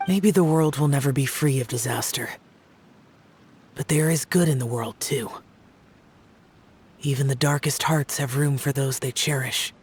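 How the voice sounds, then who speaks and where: A man voicing a stoic, burdened immortal warrior in a video game, speaking in a measured, solemn, brooding way. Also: mid-range voice, darkish tone, a little hiss or hum, natural voice. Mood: quiet resolve. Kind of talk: dramatic video game monologue.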